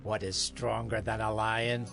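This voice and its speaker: A man's voice: lowish voice, flat delivery, a little slow, creaky, over music. An actor playing a challenging man of an ancient town in a dramatized audio Bible.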